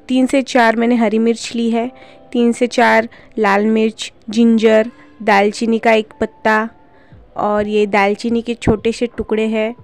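A woman gives a calm, step-by-step recipe voice-over for her home cooking channel. Her voice sits a little high but sounds soft and mellow. Faint music plays under her talk. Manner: calm, instructive, homely explanations.

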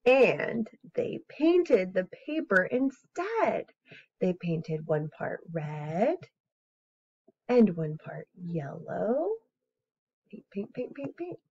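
A woman in her thirties with an expressive, singsong manner, talking to young children as an educator. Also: medium pitch. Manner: expressive, singsong, encouraging young listeners to join in. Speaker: children's educator and story reader. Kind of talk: animated read-aloud and casual craft demonstration for young children. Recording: slightly dull webcam recording.

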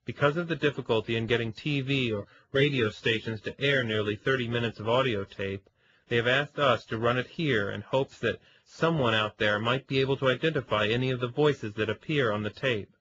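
A man speaking as a producer, reading a formal, serious announcement in a measured way. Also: medium pitch, fairly bright tone, natural voice. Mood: sober, formal. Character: sober male producer reading a public appeal. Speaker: executive producer making an announcement. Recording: slightly dull lo-fi audio.

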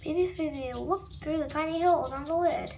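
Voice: sits high, a little fast, a little mellow. A child hosting a read-aloud of a comic book, stumbling over words and wandering off into asides. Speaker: read-aloud video host. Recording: noisy home recording with room ambience.

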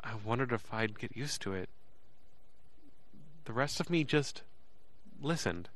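A man's voice: mid-range voice, animated, measured pace, a bit bright. An actor playing an uneasy, earnest young man who narrates his own story in an audio drama. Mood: reflective, stunned.

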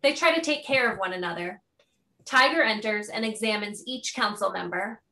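A woman's voice: mid-range voice, normal speed, bright, forceful tone, rough recording. A woman narrator reading stage directions as scripted narration.

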